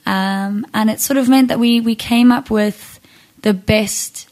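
A woman's voice: on the high side, fairly even intonation, unhurried, a little mellow. A young woman from Zimbabwe, a singer-songwriter, talking casually in an interview, thoughtful and full of ums and restarts.

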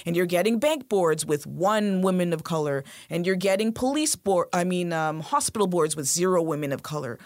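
Low-voiced woman speaking directly. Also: animated, normal speed, few pauses, no room sound, clean studio sound. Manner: direct and emphatic. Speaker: guest. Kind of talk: interview conversation.

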